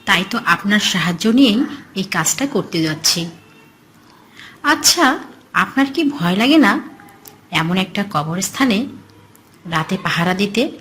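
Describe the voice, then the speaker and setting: A woman's voice: medium pitch, lively intonation, a little slow, pauses often, a bit bright. Woman as a secretive male grave robber, faint noise. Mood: curious. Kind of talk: horror cartoon storytelling.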